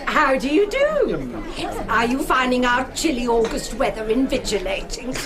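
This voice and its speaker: A woman's voice: a little high, sing-song, unhurried. An actress playing a conceited, chatty woman patient in a radio drama, gushing and affected. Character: conceited, chatty woman patient using fancy words wrongly. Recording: chattering dining hall crowd behind.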